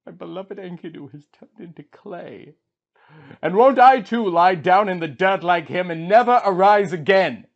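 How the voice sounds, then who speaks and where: A proud warrior king played by a male narrator laments with raw, impassioned grief. His fairly high male voice declaims like an epic storybook hero. The recording is a little dull. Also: normal speed, creaky. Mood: anguished.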